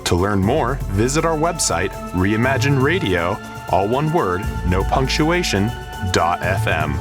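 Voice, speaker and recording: A man's voice: fairly low pitch, very animated, measured pace. A man reading a scripted announcement for a radio program as its announcer. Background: music.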